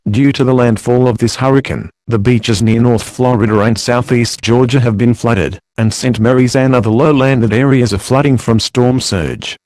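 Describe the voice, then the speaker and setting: A composed male news anchor played by a man delivering scripted storm coverage. His pitch is average and his manner formal and matter-of-fact, recorded dry with hardly any room. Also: fairly even intonation, moderate pace, few pauses, darkish tone, no room sound, natural voice. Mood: serious, composed.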